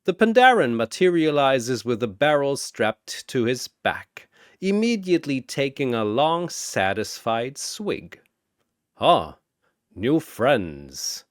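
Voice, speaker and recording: A man delivers scripted story narration with theatrical weight. He takes his time, and his pitch moves widely for drama. The sound is very dry, with no room around the voice. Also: sing-song, a little slow, clear, resonant tone, no room sound. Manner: portentous, theatrical.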